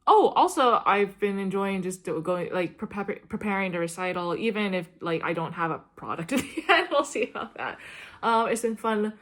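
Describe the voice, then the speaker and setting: A woman's voice: mid-range voice, animated, normal speed. A young adult woman, a classical singer, talking casually to camera in a meandering, chatty way, full of "um" and "like". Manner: chatty, meandering, frequent "um" and "like", light laughs. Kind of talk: rambling casual chat to camera.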